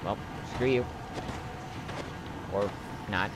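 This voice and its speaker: A man's voice: lowish voice, extremely slow, very noisy. A young man giving casual, joking commentary while playing a video game. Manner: joking, rambling, wry asides. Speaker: let's play commentator.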